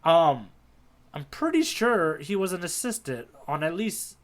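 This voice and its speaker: A man's voice: on the high side, sing-song, a little slow. A man co-hosting a podcast in casual chat about manga, joking and acting out imagined quotes. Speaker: podcast co-host.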